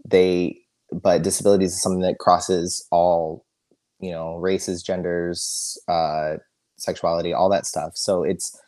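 A middle-aged man with a loud, very deep voice talks candidly as a podcast guest. He chats freely and breaks into laughter often. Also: level tone, unhurried. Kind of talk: casual interview chat.

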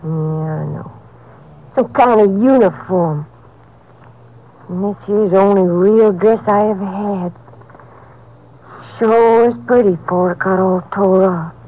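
A woman's voice: medium pitch, slow, long pauses, dull audio. An actress playing a sullen, resentful, uneducated girl delinquent with a rural Southern accent, in 1950s radio drama style. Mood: wistful. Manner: folksy ungrammatical drawl. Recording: old 1950s radio recording.

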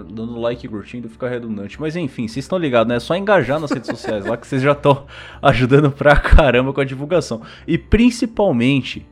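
Casual Brazilian man hosting a podcast, music behind. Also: mid-range voice. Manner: informal, slangy. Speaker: podcast host.

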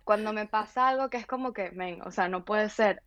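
A woman from Venezuela, telling stories in an animated casual conversation with many restarts. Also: mid-range voice, moderate pace, no room sound.

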